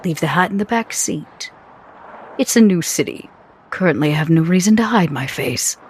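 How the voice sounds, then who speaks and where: A woman's voice: on the low side, expressive, normal speed, slightly rough. A woman playing a haughty, elegant vampire aristocrat with an imperious, composed manner in a tabletop roleplay. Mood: cool, haughty.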